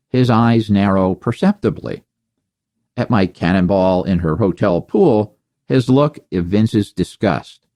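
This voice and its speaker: A man reads first-person fiction in a low voice with lively, varied intonation. The style is wry audiobook narration, recorded dry and close. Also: dry recording.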